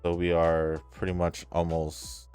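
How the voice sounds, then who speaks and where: A man's voice: low-pitched, monotone, unhurried, darkish tone, clean tone. A man talking casually as a gaming YouTuber, reading viewer comments aloud and reacting in a laid-back, hesitant way with trailing fillers. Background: faint background music.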